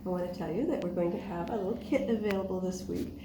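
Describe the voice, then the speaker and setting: A woman hosting a library story time, reading a picture book aloud to small children in an animated, sing-song, questioning way. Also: lowish voice, fast. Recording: roomy echo, faint background noise.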